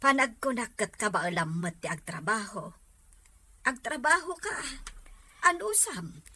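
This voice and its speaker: A woman's voice: fairly high pitch, very animated, unhurried, bright, forceful tone, slightly rough, full character voice. A woman acting a caring, patient, practical mother of a grown daughter in a drama serial. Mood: concerned, practical.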